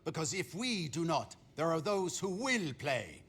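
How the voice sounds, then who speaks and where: General, lively swooping intonation. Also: male voice, on the high side, husky, full character voice. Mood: smug, philosophical. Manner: measured, deliberate, courteous. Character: captured German general, composed, philosophical, weary war strategist.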